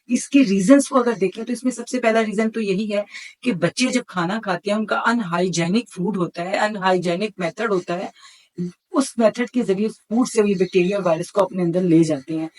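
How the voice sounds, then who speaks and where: A woman's voice: medium pitch, slight background noise, low-quality recording. Middle-aged woman explaining health advice. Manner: instructive, listing points with repetition. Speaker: homeopathic doctor presenting health advice. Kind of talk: explanatory health talk to camera.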